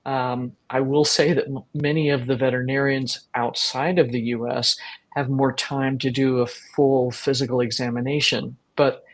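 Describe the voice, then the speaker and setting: Slow, earnest woman veterinarian host. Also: extremely low pitch, level tone, slight background noise. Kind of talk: webinar conversation.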